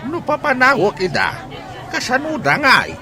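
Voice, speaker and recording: A man's voice: extremely high pitch, huge pitch swings, normal speed, crowd noise, exaggerated voice. A man playing a plaintive old grandfather in a drama. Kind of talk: radio-style drama dialogue.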